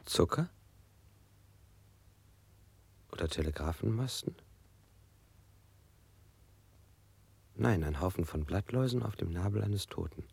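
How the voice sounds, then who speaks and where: A man's voice: low voice, lively intonation, creaky, darkish tone, husky, exaggerated voice. An actor playing an evasive man under interrogation, rambling in strange images and sometimes whispering. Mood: grotesque. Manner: dreamy, halting associations, sometimes whispered. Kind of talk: modernist radio play acting.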